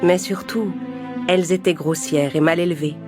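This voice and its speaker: Female storyteller for children's bedtime tales: lowish voice, scripted narration, clear music bed behind. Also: steady tone, normal speed.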